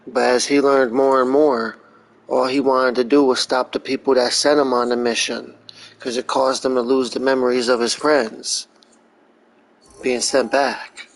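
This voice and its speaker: A man's voice: medium pitch, level tone, a little slow, low-quality recording. A man giving casual, rambling video game commentary in an informal, profane way.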